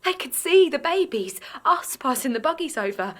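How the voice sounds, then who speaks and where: A woman's voice: high voice, sing-song, normal speed, no echo. A woman playing an anxious, naive young mother in an intimate audio drama. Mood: distressed bewilderment. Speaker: actor and narrator in an audio drama. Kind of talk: intimate first-person audio drama acting.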